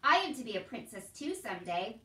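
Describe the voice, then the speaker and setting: A woman's voice: on the high side, big pitch swings, brisk, bright, forceful tone. A simple, hopeful girl of twelve from a storybook, voiced by a woman reader. She is hard of hearing, with a blunt, rustic, wondering manner. The sound is roomy and slightly far from the mic. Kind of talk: storybook read-aloud character voice. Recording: roomy echo, distant from mic.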